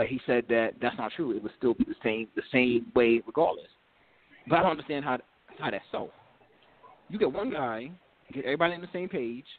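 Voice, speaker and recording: A man at a middle pitch who talks haltingly, backing up and repeating words as he gathers his thoughts. His voice comes through thin and boxy, like a phone call. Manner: halting, many restarts and repeats. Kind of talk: casual group discussion. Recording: tinny phone-like line.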